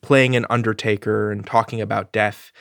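A man's voice: fairly low pitch, little pitch movement, moderate pace, creaky, fairly bright tone, no echo, clean studio sound. A man hosting a podcast, asking his guests curious questions with small restarts. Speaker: podcast host. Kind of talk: interviewing.

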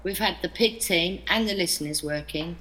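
An actress playing an earnest woman prison manager in an English audio drama, speaking in a measured, reasonable way. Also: on the low side, pushed and bright, rough recording. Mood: upbeat. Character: woman prison staff member, earnest, defensive.

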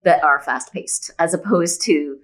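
A woman's voice: sits low, measured pace. A woman speaking as an author guest in a conversational interview, explaining her opinions emphatically with examples. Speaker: podcast guest, women's fiction author.

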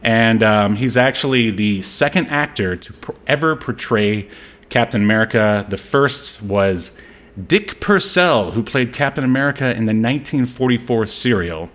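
A man hosting a podcast in casual movie-review banter, talking in a halting, joking, conversational way. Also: mid-range voice, lively intonation, a bit bright. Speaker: podcast host.

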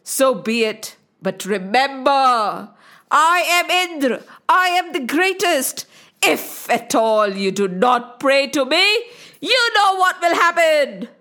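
A woman voicing an arrogant male rain god who speaks slowly and grandly. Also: high voice, big pitch swings, bright, forceful tone, exaggerated voice. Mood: warning. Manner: slow, grand and boastful.

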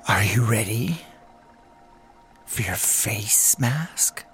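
A man playing a soothing spa attendant in a comedy audio drama, with breathy, drawn-out delivery. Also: lowish voice, animated, fairly bright tone, soft background music, exaggerated voice. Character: slow, soothing spa attendant offering luxury treatments.

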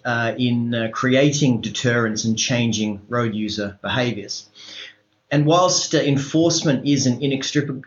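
An Australian man giving formal interview answers as an expert advisor, in a halting, measured way with careful official wording. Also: medium pitch. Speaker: road safety regional advisor, interview guest. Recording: roomy echo, dull lo-fi sound.

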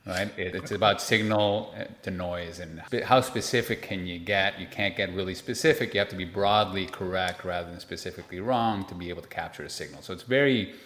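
A man's voice: deep voice, brisk, hardly any pauses, a bit bright. A man from Peru in his forties, an interview guest telling personal stories in a conversational way. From Lima, Peru. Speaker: interview guest, investment manager. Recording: roomy, echoey sound.

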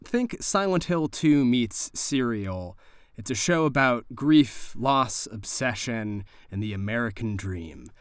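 A man directing a horror comedy show, mixing playful banter with scripted introductions and deadpan, mock-creepy joking. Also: medium pitch, slow pace, clean tone, no echo, clean studio sound. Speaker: guest director and story editor of the show.

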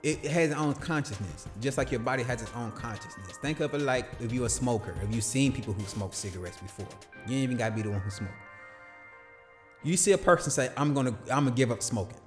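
A man's voice: mid-range voice, lively intonation, fast talker, soft background music. A man in his late thirties hosting a solo podcast, talking loosely and casually, slipping into slang and playing out short quoted exchanges. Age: 30s. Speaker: podcast host.

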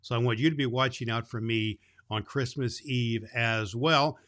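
A man's voice: mid-range voice, steady tone, moderate pace, a bit bright, lightly acted. A man playing a kindly old Santa Claus who hosts a children's Christmas show, speaking cheerfully and emphatically in a folksy, fatherly way. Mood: warm, encouraging. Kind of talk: storytelling and chat addressed to children.

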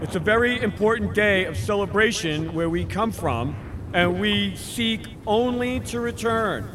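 An actor playing an earnest, commanding leader of a scientific expedition in a sci-fi audio drama. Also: male voice, high-pitched, expressive, unhurried, noisy background. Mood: firm, diplomatic. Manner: earnest, authoritative.

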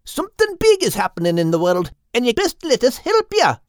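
A woman voicing an earnest small male bird who explains things slowly and patiently. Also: on the high side, huge pitch swings, dry recording, studio quality, voice pushed up.

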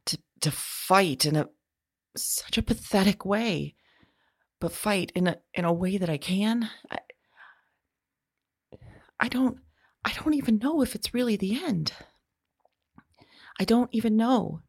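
A husky, creaky-voiced woman played by an actress in an audio drama, frightened and anguished as she waits to be taken away. She speaks slowly and haltingly, repeating herself and slipping into whispers, very close and dry. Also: mid-range voice, a little mellow, no room sound. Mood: fearful agonizing. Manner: halting, repetitive, often breaking into whispers. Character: frightened childless woman awaiting arrest, anguished, wavering.

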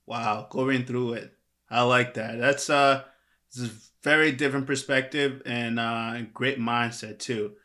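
Man, bright crisp voice, animated host, lots of fillers, emphatic. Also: medium pitch, measured pace. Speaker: podcast host. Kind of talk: enthusiastic interview hosting.

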